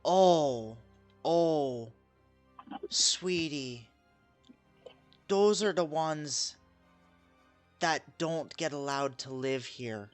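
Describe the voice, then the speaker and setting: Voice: medium pitch, drawn-out words, long pauses, dry recording, soft background music. A game master voicing a coy, sugary librarian. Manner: sweetly condescending, calls people sweetie. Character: coy, sugary male librarian of a book hoard. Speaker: non-player character.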